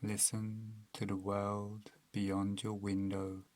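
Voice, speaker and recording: A man with a lowish voice leads a guided meditation very slowly. His delivery is calm and soothing, with an even tone and long pauses between phrases. The sound is close and dry. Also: no echo.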